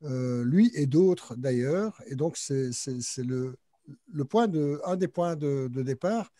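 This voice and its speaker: Hesitant man with a very dark tone. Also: medium pitch, lively intonation, takes it slowly, no echo, clean studio sound. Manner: hesitant, many fillers, introduces books and guests. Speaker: moderator. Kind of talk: panel discussion hosting.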